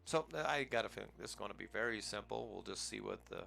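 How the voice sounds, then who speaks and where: A man's voice: lowish voice, fast talker, vocal fry, pushed and bright. An American man casually commenting on his flight simulator gameplay in a rambling, easygoing way, chuckling at himself now and then. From United States. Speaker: flight sim gaming channel host.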